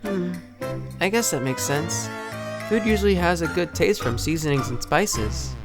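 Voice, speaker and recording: A woman's voice: on the low side, animated, measured pace, over music. A woman playing a shy, self-doubting young model in a fan audio drama, hesitant and stammering. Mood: shy, unsure. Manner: hesitant, soft-spoken, stammering.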